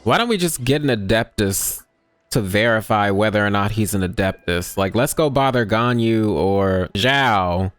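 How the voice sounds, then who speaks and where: A woman giving casual, slangy game playthrough commentary with a sassy, deadpan manner. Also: a bass voice, normal speed, no room sound. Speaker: gameplay streamer. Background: faint game music.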